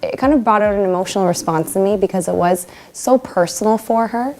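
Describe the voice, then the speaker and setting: A woman teacher speaking earnestly in a measured way in an interview. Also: mid-range voice, a little mellow, soft background music. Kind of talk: documentary interview.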